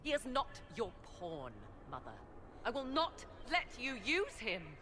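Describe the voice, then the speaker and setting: Proud sorceress, emotionally swooping voice. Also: female voice, on the high side, wildly swooping pitch, a little slow, over music. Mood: defiant. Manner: haughty, emphatic. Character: proud, defiant sorceress, fiercely protective mother. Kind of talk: dramatic video game acting.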